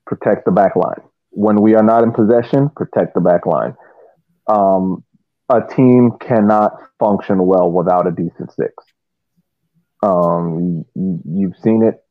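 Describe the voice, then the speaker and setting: A man co-hosting a soccer podcast, explaining tactics casually in measured phrases over what sounds like a remote call. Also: on the low side, no echo. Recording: dry, tinny phone-like line.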